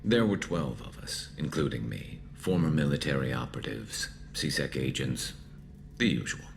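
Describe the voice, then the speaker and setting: A haunted, vengeful alien mercenary in a video game. The voice sits extremely low with a heavy gravelly creak and a metallic flanged edge. The delivery is measured, dry and brooding, over faint background ambience. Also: male voice, expressive, slight background noise, exaggerated voice. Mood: weary, reflective. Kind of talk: video game dialogue acting.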